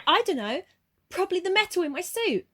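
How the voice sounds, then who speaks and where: A woman's voice: very high-pitched, sing-song, fairly quick, fairly bright tone, exaggerated voice. A young woman playing a cheeky, sceptical student in an amateur radio play, talking casually. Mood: matter-of-fact.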